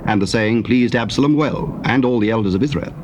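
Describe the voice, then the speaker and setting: Male narrator for dramatized scripture, formal and measured, mid register, slightly hissy old cassette. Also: medium pitch, a little mellow, highs cut off.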